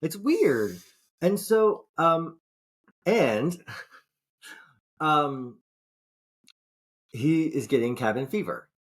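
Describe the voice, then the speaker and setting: A man's voice: on the high side, animated, very slow, long pauses. A man co-hosting a podcast in casual chat, rambling and swearing, often restarting his sentences. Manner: rambling, profane, frequent restarts. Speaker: podcast co-host.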